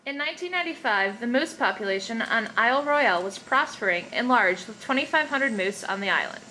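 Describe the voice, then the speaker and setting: Woman narrator, crisp bright tone, noisy recording. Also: fairly high pitch, animated, a little fast. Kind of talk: scripted informational narration. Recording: noisy amateur recording with background ambience.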